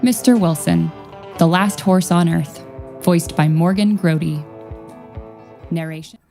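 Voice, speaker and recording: A woman's voice: lowish voice, unhurried, dark tone. A woman reading scripted cast credits as a narrator. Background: guitar music.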